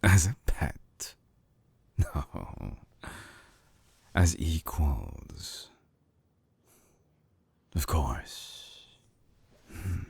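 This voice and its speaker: An actor playing a suave, obsessive villain scientist in an intimate binaural audio drama, teasing and menacing, with a husky voice that slips into breathy whispers. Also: male voice, very deep voice, sing-song, darkish tone, dry recording, heavily acted. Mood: sly, seductive. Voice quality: husky, gravelly. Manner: slow, theatrical, teasing, sliding into breathy whispers. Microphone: close binaural microphone.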